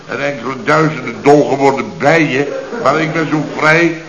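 An actor playing a blustering, indignant, pompous company boss in a radio comedy serial, speaking in mock-formal, long-winded phrases. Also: male voice, medium pitch, normal speed, crowd noise, natural voice. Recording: roomy, dull old radio recording.

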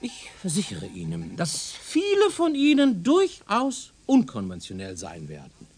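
A self-assured professor of speculative science in a radio drama. His voice sits high and swings wildly up and down as he lectures. The manner is pedantic and insistent, full of zeal for his theories. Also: huge pitch swings, a little slow, a little mellow, husky, heavily acted. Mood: earnest, insistent. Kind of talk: German radio drama acting.

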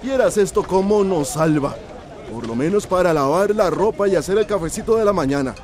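An actor playing a folksy, plain-spoken shopkeeper in a radio soap opera, speaking expressively. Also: male voice, sits high, expressive, a little fast, crowd noise. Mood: friendly, grateful. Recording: faint outdoor street noise.

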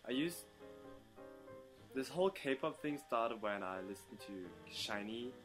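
A young man from Germany answering interview questions casually, with many pauses and ums and playful outbursts. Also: on the low side, poor audio. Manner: hesitant, many ums, bursts into playful loud laughter. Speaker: interview guest.

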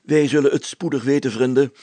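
Guy doing a stuffy old-fashioned mayor from a storybook, way up high n scratchy, formal, fussy about safety. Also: fairly even intonation, a little fast, dark tone, husky, no echo, lightly acted. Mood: pompous, grave. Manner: pompous, formal, stately wording. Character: pompous, solemn, overcautious male village mayor.

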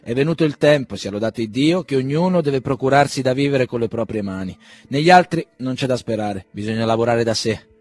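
Rich: A fussy old country doctor, full of flowery courtesy, who breaks into stammers when he gets nervous, as a male reader puts him on. He pitches his voice a touch higher and louder than plain narration. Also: lively intonation, a little fast, hardly any pauses, natural voice. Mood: hearty. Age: older. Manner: effusive, stammering, ceremonious.

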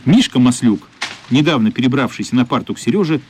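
A man narrating a radio play in an expressive, measured literary storytelling style. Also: medium pitch, slight background noise.

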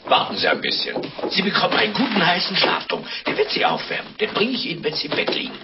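Grim insistent manservant, highish, extremely animated delivery, comic radio drama, muffled lo-fi. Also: male voice, fast talker. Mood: coaxing. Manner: insistent, curt, swooping. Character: grim, bossy, menacing manservant. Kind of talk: comic radio play acting. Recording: dull lo-fi radio recording, loud music behind.

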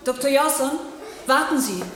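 A woman's voice: sits high, normal speed, fairly bright tone, echoey room, big-room sound. An actress in a German science-fiction radio play, playing an insistent, troubled woman. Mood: urgent, insistent.

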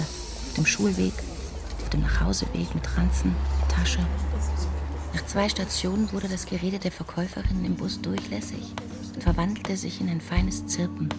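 An actress playing a reflective woman who narrates her memories as a literary radio play monologue, pensive and measured. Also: on the low side, vocal fry.

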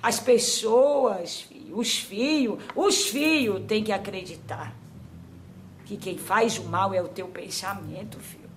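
A woman acting as a medium for an old grandmother spirit, giving slow, repetitive spiritual advice that trails off softly. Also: medium pitch, slight background noise, close to an everyday voice. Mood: counseling. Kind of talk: spiritual counseling.

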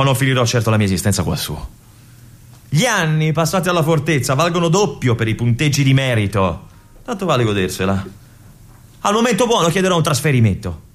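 An actor playing a restless young army lieutenant in a theatrical monologue, with an intense, halting delivery that moves between hushed and urgent. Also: male voice, mid-range voice, lightly acted. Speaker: stage actor performing a monologue.

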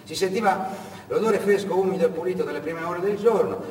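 A man delivering a theatrical narrative monologue as a stage storyteller, dramatic and emphatic, with deliberate pauses. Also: high-pitched, darkish tone. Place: reverberant indoor performance space. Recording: echoey hall, distant mic, faint noise.

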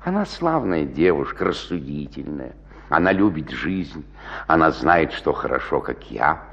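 A man's voice: very deep voice, big pitch swings, unhurried, gravelly, a bit bright, heavily acted. An actor playing a merry, talkative middle-aged joiner who loves drink, in a theatrical radio-play monologue, savouring his words and chuckling. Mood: savouring, boastful. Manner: savouring, chuckling, rhetorical, swinging from murmurs to exclamations. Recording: dull lo-fi 1960s radio recording.

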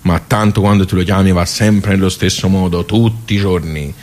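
A man's voice: low voice, fairly even intonation, normal speed, darkish tone, close to an everyday voice. A man acting a radio monologue as a wry, rueful, talkative social club regular, with a Tuscan accent and a rambling, colloquial way of asking and answering himself. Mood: wry, chatty. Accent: Tuscan Italian.

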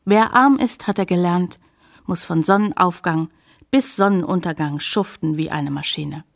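Reflective, creaky-voiced woman narrator. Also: fairly low pitch, normal speed. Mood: earnest. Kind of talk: radio play narration.

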